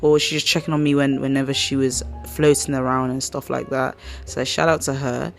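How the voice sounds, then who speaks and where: A woman with a croaky, hoarse voice hosting a casual podcast, rambling and slangy as she chats. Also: extremely low pitch, level tone, normal speed, soft background music. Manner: chatty, slangy, rambling storyteller. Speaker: podcast host. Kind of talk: casual chat.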